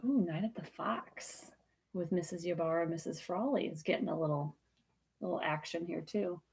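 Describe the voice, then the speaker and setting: Husky-voiced woman hosting a livestream auction. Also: on the low side, normal speed, a little mellow. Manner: chatty, giggly, checking messages while talking. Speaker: auction co-host. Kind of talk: casual livestream auction chat. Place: indoors, small room with tiki decor.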